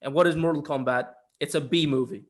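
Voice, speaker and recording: A young man with a voice pitched a little above average discusses films casually as a co-host. He is opinionated and often doubles back on his words to stress a point. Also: a little fast. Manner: opinionated, emphatic repetition. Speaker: film review podcast co-host.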